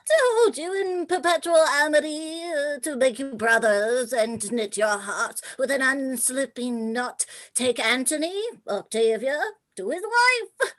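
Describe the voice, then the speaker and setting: Woman doing scheming polite royal advisor, really high voice, slow, shakespeare radio play. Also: expressive, fairly bright tone. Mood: eager, persuasive. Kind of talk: live radio play acting in Shakespearean verse.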